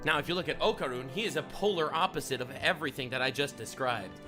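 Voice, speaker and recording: A man's voice: mid-range voice, fast talker. A man delivering scripted analytical commentary as a video essayist, emphatic and building points through rhetorical repetition. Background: music.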